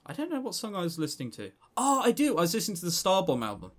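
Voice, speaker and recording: A man co-hosting a podcast in casual, joking banter, rambling excitably and often stammering over repeated words. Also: sits high, rapid-fire. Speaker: podcast co-host.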